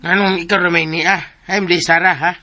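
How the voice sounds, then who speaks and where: A man's voice: high-pitched, little pitch movement, brisk, pushed and bright. A Filipino man in a radio drama playing a teasing, mock-scolding household companion. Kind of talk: Philippine radio drama acting.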